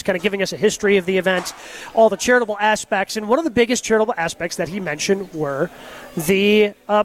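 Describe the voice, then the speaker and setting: A man co-hosting a live sports radio show from a golf tournament, chatting with quick enthusiasm. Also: high-pitched.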